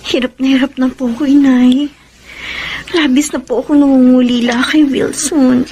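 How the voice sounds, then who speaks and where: A devoted, lovesick woman in a radio melodrama. Her voice is high and plaintive, pleading as she speaks. There is faint noise behind her. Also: fairly even intonation, moderate pace, dark tone. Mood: anguished longing. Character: lovelorn, devoted woman of thirty, pining. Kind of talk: radio drama acting.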